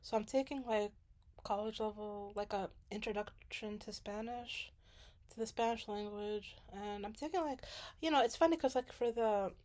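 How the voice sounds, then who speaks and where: A woman's voice: fairly high pitch, a little mellow. A young woman from Sudan chatting casually as a graduate student, rambling with many fillers and restarts. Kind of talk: casual chat.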